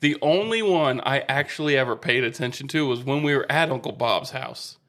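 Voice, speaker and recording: A man's voice: mid-range voice, expressive, a little fast, fairly bright tone. A man co-hosting a podcast in a casual, wry and teasing way. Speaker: podcast co-host. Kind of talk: casual chat.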